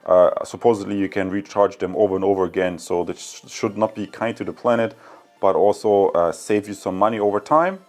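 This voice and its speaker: A man's voice: fairly low pitch, brisk. A man hosting a casual, unscripted product review, talking informally and directly to his viewers. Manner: informal, addresses viewers as guys.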